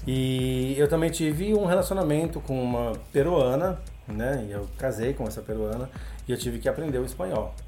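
A middle-aged man from Brazil talks as a podcast guest, relaxed and rambling, with lots of small filler tags. His voice sits at an ordinary middle pitch. Faint music runs under the talk. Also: normal speed. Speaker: guest, English teacher. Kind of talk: casual podcast interview.

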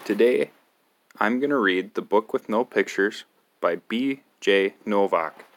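A man's voice: fairly low pitch, a little slow. A man reading a picture book aloud to children as a storytime host, with a theatrical, exaggerated delivery.

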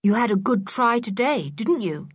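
Woman acting a cheeky, mock-polite English boy, very lively. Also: a little high, moderate pace, slightly rough, no room sound. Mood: coolly taunting. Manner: exaggerated politeness, teasing, self-assured.

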